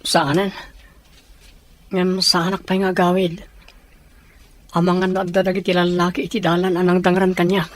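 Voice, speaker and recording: A man playing a sheltered, sympathetic young man from a rich family in an audio drama, hesitant and gentle, with a northern Philippine accent. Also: sits high, completely flat delivery, moderate pace, long pauses, no echo, close to an everyday voice. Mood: shaken, wary. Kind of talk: acted audio drama dialogue. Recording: faint background noise.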